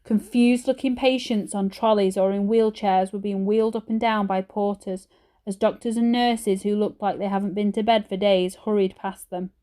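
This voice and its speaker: A woman's voice: mid-range voice, steady tone, normal speed, warm tone, clear, resonant tone. A woman narrating a children's storybook expressively, like a teacher reading aloud.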